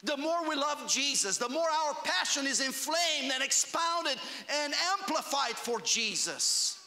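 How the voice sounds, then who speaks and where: A man from Romania, preaching a fervent sermon in a church that builds to shouts on key phrases. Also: very high voice, sing-song, measured pace, long reverb tail. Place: church hall.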